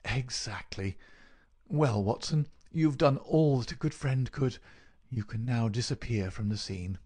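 A man voicing an ailing male detective in an audiobook, feeble but lucid, crisp and masterful. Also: mid-range voice, measured pace, a little mellow, natural voice. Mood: crisp, weak. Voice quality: feeble, slightly raised. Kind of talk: audiobook character voice.

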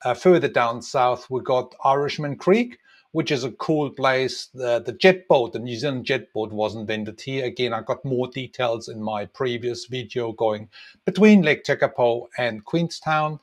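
A man's voice: medium pitch, unhurried. Middle-aged male travel guide, casual explainer with frequent fillers, home webcam recording. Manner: relaxed, many ums and uhs, explaining. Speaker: tour guide and travel designer. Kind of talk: casual map walkthrough narration.

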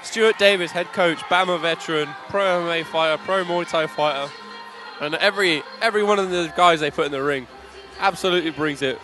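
A British man giving live MMA commentary, excitable, reacting in quick bursts of praise. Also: on the high side, music in the background, crowd noise. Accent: British English.

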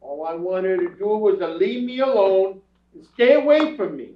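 A man playing a defensive, self-pitying, streetwise bum under questioning in a staged radio crime drama, protesting in halting, ungrammatical speech. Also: high voice, animated. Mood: wounded, pleading. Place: theatre stage before an audience. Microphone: far camera. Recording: roomy theatre stage from far off, muffled.

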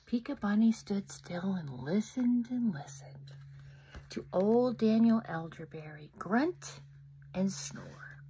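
Unhurried, whispery middle-aged storyteller woman. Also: medium pitch, a little hiss or hum, poor audio. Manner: soft, often whispered, expressive asides to children. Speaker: children's book author reading aloud. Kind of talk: interactive children's story reading. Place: home room, indoors. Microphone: phone camera close up.

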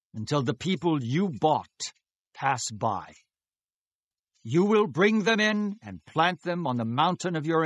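A man's voice: medium pitch, dry recording. A man acting a devout, fervent Israelite in a dramatized scripture reading, speaking in a solemn, declamatory manner.

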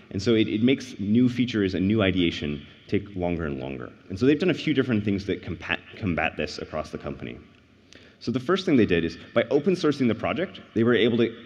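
A man in his thirties giving a tech conference keynote on a large stage, speaking earnestly and explaining step by step. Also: low-pitched. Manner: earnest, explanatory, rises on key points. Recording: dull livestream audio in a reverberant hall.

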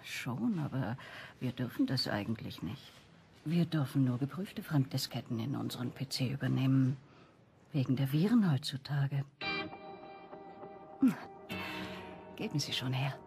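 An actress playing a kindly, fussy older library clerk in a crime radio play, chatty and wistful with a croaky voice. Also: female voice, extremely low pitch, expressive, unhurried, pauses often, over music, heavily acted. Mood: reluctant, helpful. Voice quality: croaky, husky. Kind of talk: German radio crime drama acting.

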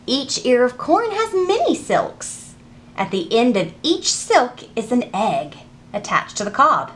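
A woman reads and teaches for young children as a storytime host. Her voice sits fairly high and swings widely in pitch. She takes her time, phrasing things in a deliberate sing-song. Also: big pitch swings, fairly bright tone. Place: public library children's section.

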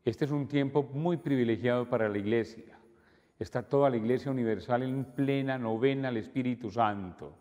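An older priest leads Mass in a calm, measured voice of middle pitch. His prayers carry a long reverb through the church. The delivery is steady and liturgical. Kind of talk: celebrating Mass. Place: church altar, indoors.

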